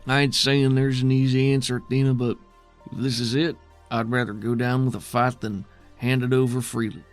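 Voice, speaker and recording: A man's voice: medium pitch, little pitch movement, moderate pace, faint music behind, natural voice. A man voicing a weary, defiant male settlement leader in a tabletop game, with folksy, plainspoken, skeptical phrasing. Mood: grimly defiant.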